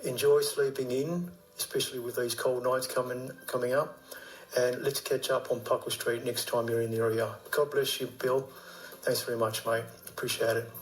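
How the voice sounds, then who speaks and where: Aussie musician guy, mid-pitched voice, casual recorded message. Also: little pitch movement, moderate pace, low-quality recording. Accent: Australian.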